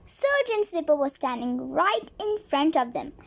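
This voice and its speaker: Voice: high-pitched, sing-song, measured pace, vocal fry, fairly bright tone. A child reading a storybook aloud, stumbling over words and repeating phrases now and then.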